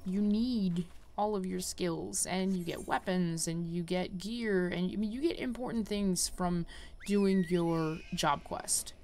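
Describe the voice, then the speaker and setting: A woman's voice: on the low side, slow pace, darkish tone. A woman giving casual let's-play commentary as a gaming host, rambling and easily sidetracked.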